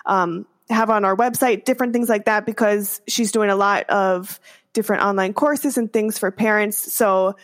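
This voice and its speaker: A woman's voice: medium pitch, fairly even intonation, normal speed. A woman co-hosting a casual podcast, speaking with enthusiasm. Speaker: podcast co-host.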